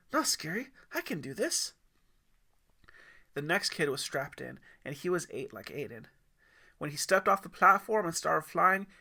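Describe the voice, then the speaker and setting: Male audiobook narrator, expressive storytelling, creaky bright voice. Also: fairly high pitch.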